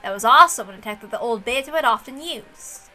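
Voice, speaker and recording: A woman reading a visual novel aloud as its narrator, in expressive scripted narration. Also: on the high side, fairly quick. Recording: music under the voice.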